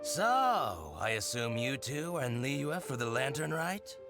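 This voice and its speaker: An actor playing a jaunty, teasing small-time merchant in a video game, with an ingratiating manner. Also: male voice, mid-range voice, sing-song, a little slow. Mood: friendly, jaunty. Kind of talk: video game character acting. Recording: music behind.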